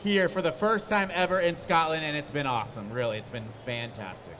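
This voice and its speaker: An excitable, hyped-up young man on a convention stage, talking into a handheld mic. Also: on the high side, brisk, long reverb tail, background noise. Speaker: reality TV guest.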